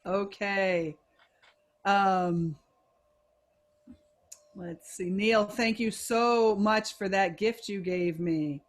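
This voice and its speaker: A woman's voice: medium pitch, drawn-out words, long pauses. An American woman teacher trainer leading an online workshop over a video call, encouraging and playful as she guides the group. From USA. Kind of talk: warm, upbeat workshop facilitation.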